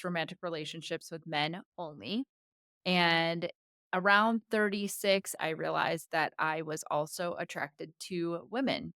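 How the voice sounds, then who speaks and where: A woman in her forties, raised in the United States with Tagalog as her first language, speaks in a slow, unhurried, reflective way. Her voice sits a little low and comes across smooth and clean. The recording is dry and close, with no room sound. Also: fairly bright tone, dry recording. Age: 40s. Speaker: vlogger. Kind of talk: casual personal talk to camera.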